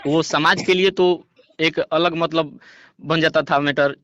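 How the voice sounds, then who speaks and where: A man's voice: a little high, fairly even intonation, slow, a bit bright, clean tone, no room sound. A man defending himself in a phone conversation, repeating words and hesitating while addressing the other person respectfully. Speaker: singer being interviewed. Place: phone call recording. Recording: dull lo-fi recorded phone call.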